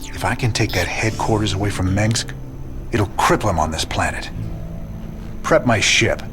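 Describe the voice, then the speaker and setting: An actor playing a weary, determined rebel leader, laconic and wry. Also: male voice, lowish voice, moderate pace, fairly bright tone, soft background music, lightly acted. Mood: resolute.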